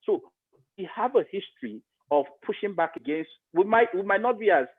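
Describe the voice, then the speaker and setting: A man's voice: sits high, animated, a little fast, narrow, tinny audio. Nigerian man on a thin, band-limited line. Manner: emphatic, repeats phrases for stress. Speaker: interview guest, media expert. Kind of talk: conversational political commentary in an interview.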